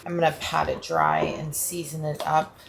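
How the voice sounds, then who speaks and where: A woman vlogger with an American accent, chatting casually in her home kitchen in a sleepy, relaxed voice. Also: deep voice, normal speed, gravelly, noisy background. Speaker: lifestyle vlogger. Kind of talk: casual vlog chat.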